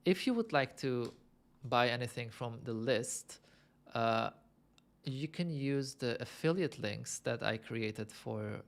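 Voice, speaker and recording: A man's voice: mid-range voice, lively intonation, slow. A young man from Lebanon hosting a casual livestream, answering viewer questions in a chatty, self-amused way and thinking aloud with many fillers. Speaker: livestream host.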